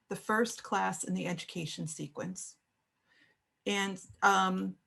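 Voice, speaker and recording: A woman professor talks her online class through a lesson over a video call. She is chatty and friendly, with plenty of fillers. Her voice sits at a middle pitch. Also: vocal fry. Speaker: education professor.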